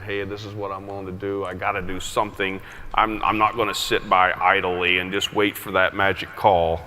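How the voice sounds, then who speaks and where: Male interview guest, casual and chatty, bright forward tone, faint hiss behind. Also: fairly low pitch. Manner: frequent "you know what I mean". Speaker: search volunteer guest.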